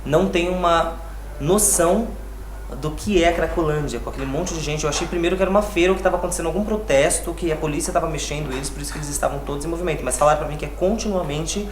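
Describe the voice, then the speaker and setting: A man's voice: on the high side, racing. A male TV reporter speaking earnestly and carefully on location in a city, then interviewing a guest. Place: outdoors on a city street. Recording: loud street traffic noise. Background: traffic noise.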